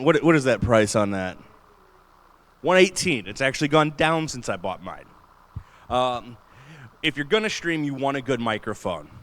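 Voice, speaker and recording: A man with a booming voice giving an enthusiastic, chatty panel talk in a large convention room. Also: a bit bright. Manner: enthusiastic, chatty, laughs often. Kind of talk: casual panel talk on streaming gear.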